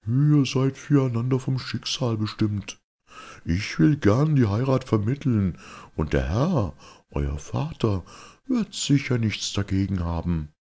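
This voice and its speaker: A man's voice: mid-range voice, lively intonation, a little slow, dark tone, pitched above the performer's own voice. A man voicing a wise magical talking cow in a fairy tale, solemnly instructing and persuading. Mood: persuasive.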